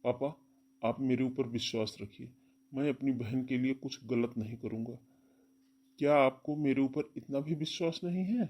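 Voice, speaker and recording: A man's voice: mid-range voice, normal speed, mellow, natural voice. A man voicing a stern, domineering elder brother, firm and insistent, in a cartoon family melodrama. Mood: defensive.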